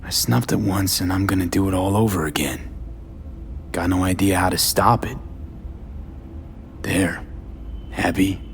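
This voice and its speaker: A man's voice: low-pitched, fairly even intonation, measured pace, pauses often, a little hiss or hum. A man playing a jaded, sardonic mercenary in a video game, blunt and guarded, in clipped, terse phrases with dry sarcasm. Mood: bitter, resigned.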